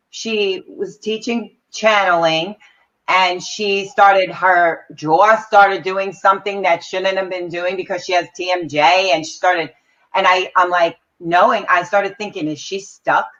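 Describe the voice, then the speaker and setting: A woman's voice: medium pitch, a little slow, sharp tone. A woman co-hosting a live psychic chat, explaining things in an earnest way over a video call. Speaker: psychic reader, co-host. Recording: dull lo-fi audio.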